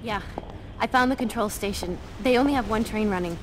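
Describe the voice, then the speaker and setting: A woman's voice: medium pitch, fairly quick, a little hiss or hum, natural voice, rough recording. A woman playing a plucky, practical young ally in a video game scene. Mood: shaken, practical. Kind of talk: dramatic video game cutscene acting.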